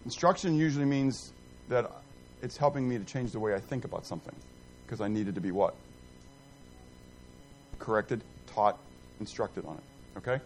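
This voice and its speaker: A middle-aged man teaching a Bible class in a rambling, self-deprecating, animated way, quizzing and joking with his group. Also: mid-range voice, normal speed, pauses often, slight background noise, low-quality recording.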